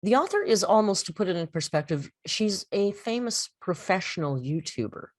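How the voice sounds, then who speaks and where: A woman hosting a mock-serious poetry critique, explaining in a deliberate, theatrical, scholarly manner. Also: fairly low pitch, lively intonation, no room sound, clean studio sound. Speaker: host giving a reading and critique. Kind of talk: mock-serious dramatic poetry reading and literary commentary.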